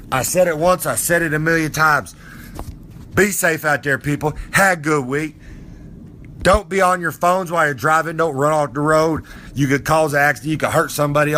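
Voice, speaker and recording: A man's voice: a little high, a bit bright, a little hiss or hum, lightly acted. A man voicing a boastful, folksy old male wrestler character with a drawl who rambles and preaches. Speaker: podcast character segment.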